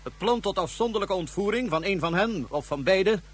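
A man's voice: high voice, animated, a little fast, slightly rough. A man playing a cold, ruthless Martian ruler in a science fiction radio drama, formal and commanding. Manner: formal, commanding, feigned humility.

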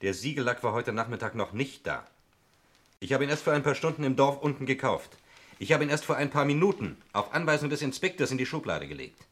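An actor playing an earnest, emphatic police sergeant in a 1960s German radio crime play. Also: male voice, mid-range voice, very animated, a little fast. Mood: firm, accusing.

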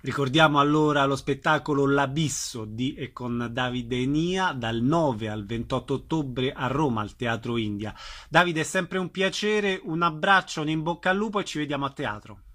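A man's voice: medium pitch, moderate pace, a bit bright. A man hosting a radio interview, introducing guests and putting questions to them. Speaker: radio host.

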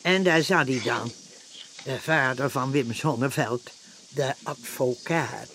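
An actor narrating a radio play as a measured, wry storyteller. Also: male voice, medium pitch, vocal fry, a bit bright. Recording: faint noise, old radio play recording.

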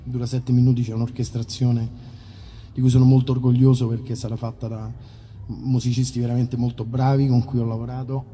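A man's voice: medium pitch, little pitch movement, brisk, dark tone, long reverb tail, slight background noise. Man chatting casually on stage. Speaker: musician performing a concert. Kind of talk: casual stage chat between songs. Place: live outdoor concert in a park.

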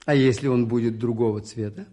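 An actor playing a humble, shrewd, gentle priest in a radio play, modest, hesitant and thoughtful, with a husky voice. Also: male voice, mid-range voice, fairly even intonation, fairly quick, close to an everyday voice. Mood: deferential. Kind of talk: Soviet radio play acting.

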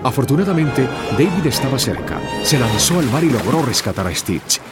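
A man's voice: mid-range voice, very animated, moderate pace, over loud music. A man narrating a scripted children's audio story.

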